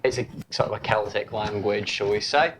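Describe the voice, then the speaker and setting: A man's voice: on the low side, brisk, noisy background. Easygoing man reading viewer comments. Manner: reads viewer comments aloud, easygoing and chatty. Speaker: co-host of a wargaming stream. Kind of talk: casual live-stream chat.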